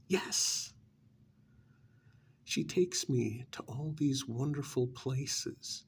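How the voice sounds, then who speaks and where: A man playing a smug, pampered vampire's familiar recruiter in an ASMR role-play, cajoling and dismissive, with a gravelly voice that often drops to a breathy near-whisper. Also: mid-range voice, steady tone, full character voice. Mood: boastful. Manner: conversational, cajoling, with rhetorical questions and pauses.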